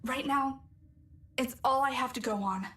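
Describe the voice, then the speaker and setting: An actress playing a shaken but determined young warrior in a video game, halting and earnest. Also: female voice, high voice, bright, forceful tone. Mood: shaken resolve. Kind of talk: video game character dialogue. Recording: echoey with faint background noise.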